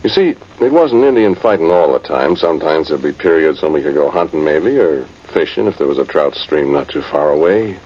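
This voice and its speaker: An actor playing a plain-spoken, weary cavalry trumpeter in a radio drama, with a folksy, reflective, hesitant way of talking. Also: male voice, very deep voice, expressive, fairly quick, hardly any pauses, husky, exaggerated voice. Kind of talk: first-person radio drama narration. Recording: muffled, noisy vintage radio recording.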